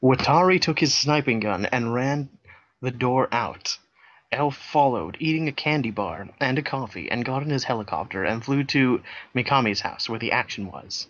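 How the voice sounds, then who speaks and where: Dull lo-fi recording, deadpan man reading. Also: mid-range voice, moderate pace. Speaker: co-reader, narrator. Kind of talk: comic fan-fiction reading with casual banter.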